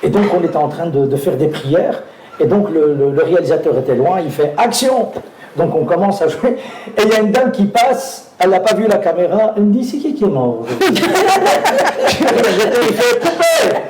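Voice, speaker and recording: A man's voice: high-pitched, sing-song, normal speed, a little mellow, echoey room, a little hiss or hum, rough recording. A man, an actor guest telling anecdotes on stage, hesitant with many fillers and restarts, often laughing. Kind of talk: live talk on stage, storytelling.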